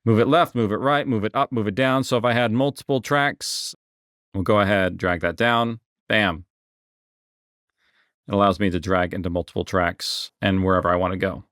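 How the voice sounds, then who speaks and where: A man narrating a software tutorial in an informal, explanatory way, repeating key words for emphasis. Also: on the low side, normal speed, no echo, studio quality. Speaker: software instructor. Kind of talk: casual screen-share tutorial narration.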